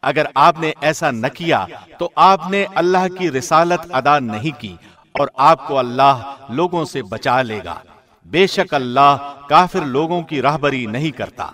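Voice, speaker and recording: A man reading a scripted scripture translation in a measured, formal radio-presenter manner. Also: on the high side, fairly bright tone. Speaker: translation reader, radio host.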